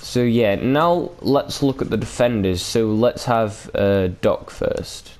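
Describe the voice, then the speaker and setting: A man's voice: lowish voice, slow, a little mellow. A man hosting a gaming video with casual, unscripted commentary, rambling and hesitant with loose, sweary language.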